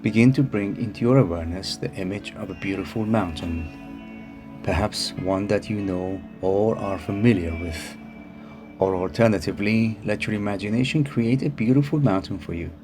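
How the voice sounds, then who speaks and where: A man narrating a guided meditation in a calm, soothing manner with unhurried phrasing. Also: lowish voice. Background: music behind the voice.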